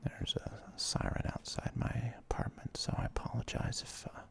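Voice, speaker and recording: A man in his thirties whispers ASMR video game commentary in a hesitant, self-deprecating, rambling way. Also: normal speed. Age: 30s. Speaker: ASMR let's play host. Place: apartment.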